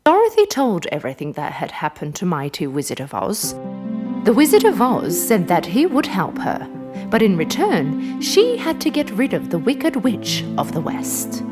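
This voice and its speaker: A woman narrating a scripted children's fairy tale in an expressive, dramatic way. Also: medium pitch, moderate pace. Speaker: storyteller, narrator. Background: music.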